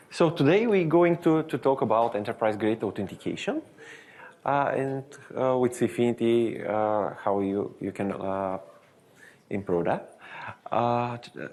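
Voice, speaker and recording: A man with an Eastern European accent giving a technical webinar presentation as a software development manager, halting and often correcting himself mid-sentence. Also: mid-range voice. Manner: halting, searching for words, frequent self-corrections.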